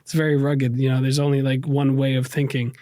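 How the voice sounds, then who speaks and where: A man's voice: mid-range voice, little pitch movement, brisk, dark tone, dry recording. New York man, dry close sound. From raised in the United States, New York. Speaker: podcast guest. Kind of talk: reflective personal interview talk.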